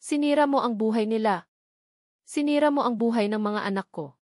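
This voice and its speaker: A woman's voice: fairly high pitch, fairly quick, darkish tone, no echo, lightly acted. A woman voicing an angry young man in a melodramatic story, seething and accusing as he defends his children, in a dry recording. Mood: furious.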